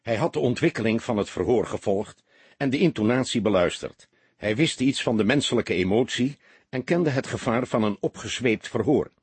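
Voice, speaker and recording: A man's voice: mid-range voice, lively intonation, moderate pace, slightly rough, no echo. A man reading a detective novel as a dramatic audiobook narrator.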